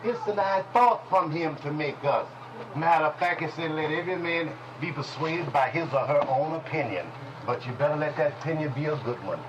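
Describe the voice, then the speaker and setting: A man teaching a congregation in a preaching style, folksy and call-and-response, with speech, dropping at times to low murmurs. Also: brisk, dull audio, noisy background. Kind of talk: preaching Bible lesson. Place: congregation hall.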